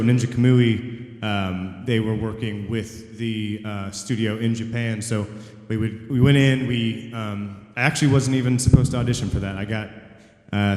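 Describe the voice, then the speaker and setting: A man's voice: on the low side, a little mellow. A man, a voice actor on a convention panel, recounting an audition earnestly and humbly, with many fillers. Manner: earnest, humble, quick bursts between fillers. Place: large convention hall. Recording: echoey hall with long reverb.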